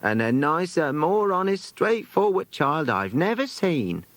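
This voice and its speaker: Man plays British woman companion in a children's storybook: loyal, earnest, confiding, lifted animated pitch. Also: unhurried, no room sound. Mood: confiding, emphatic.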